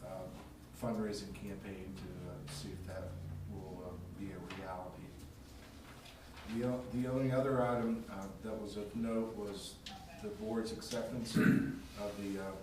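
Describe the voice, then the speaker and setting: A man with a stuffy, nasal cold gives his report in a low, flat voice, stopping and restarting often. He is heard from a distance in a booming, echoey council chamber with a steady hum behind him. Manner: halting, many fillers and restarts. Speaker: council member. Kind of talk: committee report at a council meeting.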